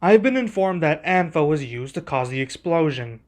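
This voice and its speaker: A man playing a composed, earnest young king in an ASMR roleplay, speaking in a measured, formal way. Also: on the high side, animated, close to an everyday voice. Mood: businesslike.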